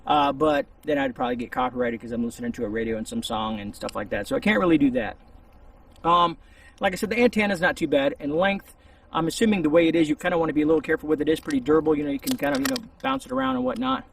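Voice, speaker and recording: A man with a gravelly, husky voice giving a casual, rambling, hesitant product review outdoors, a little distance from the camera. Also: fairly high pitch, very fast. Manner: informal, hesitant, meandering. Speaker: YouTube reviewer. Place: outdoors among trees. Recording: voice distant from the mic.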